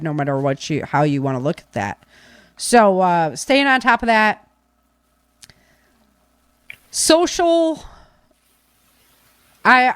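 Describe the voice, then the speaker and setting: A woman hosting a podcast, speaking informally into a close microphone with animated emphasis. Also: medium pitch, no room sound. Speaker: podcast host. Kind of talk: casual podcast talk.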